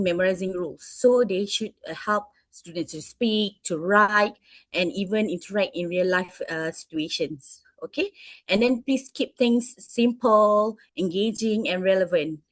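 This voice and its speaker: Malaysian woman teacher, halting answers. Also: mid-range voice, no echo. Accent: Malaysian English. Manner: hesitant, many fillers and restarts. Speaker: English teacher interviewed by students. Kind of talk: answering interview questions.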